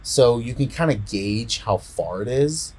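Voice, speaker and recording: A man in casual podcast chat, retelling a story in a rambling, halting way and often repeating words. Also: medium pitch, lively intonation, darkish tone. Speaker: podcast co-host.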